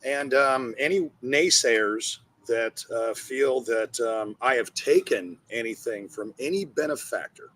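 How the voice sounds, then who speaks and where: A man giving a personal monologue in a husky voice, blunt and profane, pausing between phrases. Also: medium pitch, fairly bright tone, rough recording. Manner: blunt, profane, halting with pauses. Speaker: comedian and charity founder.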